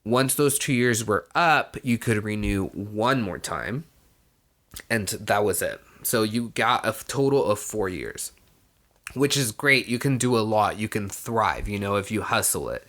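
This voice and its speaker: A man's voice: fairly low pitch, animated, measured pace, fairly bright tone. Laid-back young man telling his story. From Mexico. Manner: conversational, frequent "you know", swears casually, laughs while talking. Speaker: vlogger. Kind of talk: casual personal storytelling to camera.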